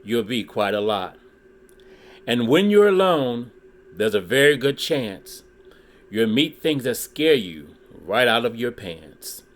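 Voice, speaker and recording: Slow, animated man reading a storybook. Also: mid-range voice. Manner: deliberate, dramatic phrasing with pauses for emphasis. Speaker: author reading a children's book aloud for a video channel. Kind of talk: expressive storybook read-aloud in rhyme.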